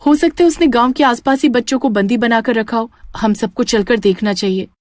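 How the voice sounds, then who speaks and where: A woman voicing a concerned village wife and mother, earnest and questioning, in an animated story. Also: on the high side, fairly quick, no echo, clean studio sound, close to an everyday voice. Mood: worried.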